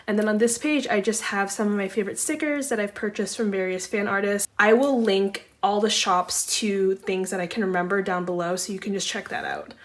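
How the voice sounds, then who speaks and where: A young woman in casual chat while sorting photocards, chatty and rambling, with a slightly congested voice. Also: mid-range voice. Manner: chatty, rambling, often says um and like. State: slightly congested, recovering from laryngitis. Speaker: photocard collecting vlogger.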